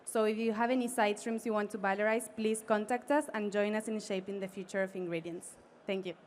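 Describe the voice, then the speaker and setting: Woman pitching a startup over noise. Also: fairly even intonation, a little fast. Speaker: startup presenter. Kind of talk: prepared business pitch.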